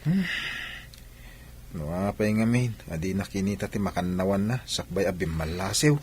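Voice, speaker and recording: A man playing an older village father in a radio drama, concerned and halting, with conversational exclamations. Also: deep voice, expressive, darkish tone, slight background noise.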